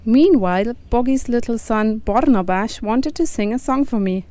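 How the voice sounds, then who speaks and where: A woman who narrates a short documentary in a clear, even presenting tone and asks the interview questions. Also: fairly high pitch, normal speed, no room sound.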